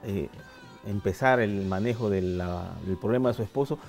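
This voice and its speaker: A man in his forties, a doctor guest on a TV health show, explaining treatments in a steady, methodical way with a lapel mic in a studio. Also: fairly low pitch, moderate pace, soft background music. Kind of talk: medical explanation.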